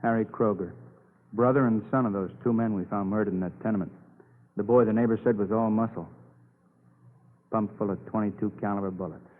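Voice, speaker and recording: An actor playing a weary, needling police detective who rambles through rhetorical questions in a vintage radio crime drama. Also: male voice, lowish voice, fairly even intonation, natural voice. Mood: grim. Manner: slow-building, repeats the listener's name, asks rhetorical questions. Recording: noisy, narrow-band old radio broadcast.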